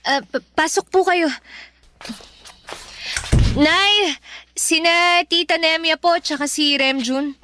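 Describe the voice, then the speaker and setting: An earnest teenage student daughter in a Filipino radio drama. Her voice is very high and unhurried, polite and a little halting. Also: bright, forceful tone, a little hiss or hum, full character voice. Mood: surprised, hesitant.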